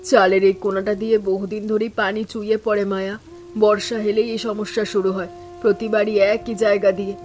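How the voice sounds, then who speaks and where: Calm, even-voiced elder daughter-in-law, acted by a woman. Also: medium pitch, a little fast, soft background music, natural voice. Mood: practical. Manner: calm, resigned, reassuring. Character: patient, hardworking elder daughter-in-law of a village house. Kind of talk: animated family story acting.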